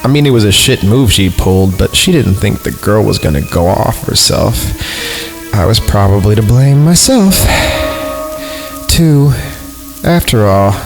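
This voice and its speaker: Deep-voiced man reading with irreverent asides. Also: expressive, a little slow, vocal fry, pauses often, poor audio. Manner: irreverent, sarcastic. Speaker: podcast host reading horror stories. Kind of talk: story reading with casual ad-libbed asides. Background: background music.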